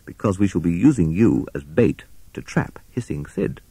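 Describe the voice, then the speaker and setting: A man's voice: low-pitched, lively intonation, moderate pace, vocal fry, dark tone, breathy edge, no room sound, heavily acted. A man voicing a clever, artful owl, superior and exasperated yet matter-of-fact, in a children's animal story. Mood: dry, superior.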